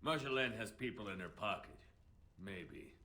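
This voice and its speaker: A man's voice: fairly low pitch, animated, normal speed, pushed and bright. An actor playing a wry, casual male elf, an outlaw mage, in a fantasy video game. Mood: rueful. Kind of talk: video game cutscene acting.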